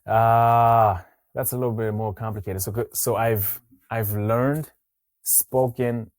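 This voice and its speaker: A man from Mozambique hosting a podcast interview, talking in a casual, halting way with frequent restarts. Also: medium pitch, steady tone, a little mellow, no echo. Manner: halting, many restarts and fillers. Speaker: podcast host.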